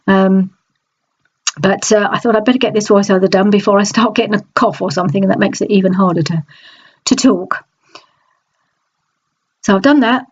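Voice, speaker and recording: A woman with a stuffy, nasal voice from a cold, chatting in a relaxed voice-over about a craft project, with many small restarts. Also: medium pitch, muffled recording. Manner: chatty, hesitant, frequent restarts. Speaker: scrapbooking crafter. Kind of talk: process video voice-over.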